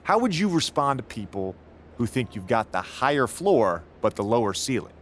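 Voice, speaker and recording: An actor playing a probing, articulate male sports interviewer in a video game, asking questions in a measured, analytical way. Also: medium pitch, sing-song, fairly bright tone. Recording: clear background noise.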